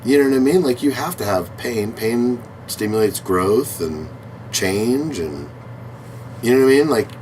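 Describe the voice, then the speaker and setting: A man's voice: mid-range voice, lively intonation, measured pace, a little mellow, slight background noise, low-quality recording. A man co-hosting a podcast, rambling loosely through a casual chat and swearing freely. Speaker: podcast co-host.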